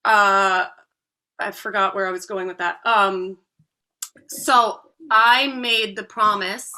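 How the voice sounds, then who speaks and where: Bright-voiced woman telling her story. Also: mid-range voice, steady tone, slow, rough recording. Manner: earnest, heartfelt storytelling. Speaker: guest, voice coach. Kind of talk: podcast interview.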